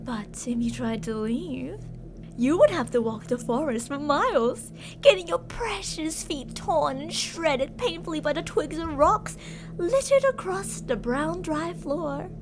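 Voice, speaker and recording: A woman's voice: high-pitched, lively intonation, unhurried, music in the background. A woman playing an obsessive yandere captor in an ASMR roleplay, sugary with endearments yet menacing and theatrical. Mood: softly menacing.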